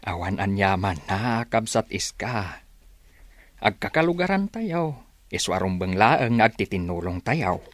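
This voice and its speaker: Slow-spoken, kindly older village father. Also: on the low side, big pitch swings, no echo. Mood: gentle, concerned. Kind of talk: radio drama acting.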